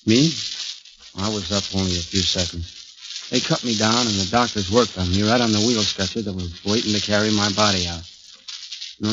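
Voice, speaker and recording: A man's voice: lowish voice, heavy background noise, natural voice. Man acting a haunted hanging survivor, old radio. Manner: brooding, halting dramatic monologue. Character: haunted condemned man who survived his own hanging. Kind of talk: 1940s radio drama narration, first-person. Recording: dull 1940s radio recording, loud music.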